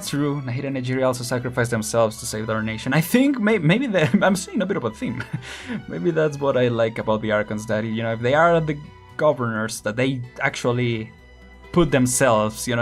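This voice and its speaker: A man chatting casually on a game stream, rambling with many fillers, restarts and small laughs. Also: mid-range voice.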